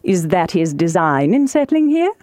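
Woman reading as a dry, mellow teasing husband. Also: fairly low pitch, very animated, normal speed, dry recording. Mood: dry, indifferent. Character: dry, teasing middle-aged husband and father. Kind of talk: audiobook character voice.

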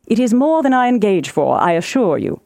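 A woman voicing a wry, teasing middle-aged husband in an audiobook, in an unhurried deadpan manner. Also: mid-range voice, creaky, no room sound, studio quality. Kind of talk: audiobook character voice.

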